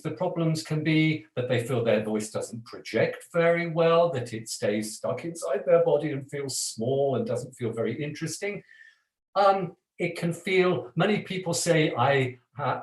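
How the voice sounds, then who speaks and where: A man's voice: medium pitch, roomy sound, rough recording. A man teaching a live voice workshop as a voice coach, talking in a chatty, self-deprecating way and laughing often. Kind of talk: live online workshop talk.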